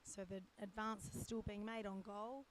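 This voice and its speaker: Middle-aged woman, hoarse and croaky, casual live commentary, mid-pitched, faint hum behind. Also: level tone. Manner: chatty, unhurried, thinks aloud with fillers. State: croaky, strained voice. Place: poolside at an indoor or covered swimming pool.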